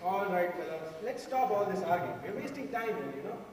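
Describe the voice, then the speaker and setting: High-pitched man playing a harried jury foreman, Indian accent. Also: sing-song, brisk. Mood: impatient. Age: young adult. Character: harried jury foreman trying to keep order. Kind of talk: amateur stage play acting. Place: large auditorium stage. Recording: distant, very echoey hall with audience noise.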